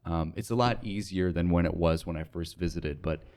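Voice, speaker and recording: Very deep-voiced young American man, casually reflective. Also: moderate pace, a little mellow. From North Dakota, United States. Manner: informal, reflective, earnest, with frequent "like". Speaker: interview guest, radio sales associate. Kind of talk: casual conversational interview.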